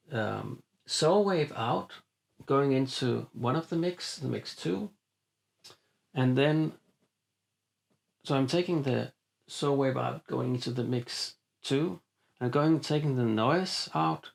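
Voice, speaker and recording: A man's voice: mid-range voice, creaky. A man walking through a modular synth patch, explaining casually with frequent fillers and restarts. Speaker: synth demonstrator. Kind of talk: hands-on synth demo. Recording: synth music playing behind. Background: synthesizer music.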